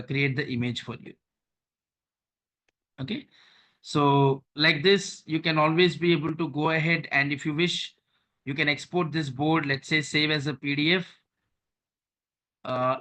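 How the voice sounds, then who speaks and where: A man's voice: on the high side, bright, forceful tone. A man leading an online training session, walking listeners through on-screen steps and often checking in with "okay" and "right".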